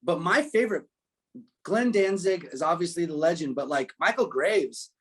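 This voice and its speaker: A man in a casual interview, telling stories as a musician guest in an animated, unpolished way. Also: fairly high pitch, normal speed, slightly rough. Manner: animated storytelling, frequent profanity and filler phrases.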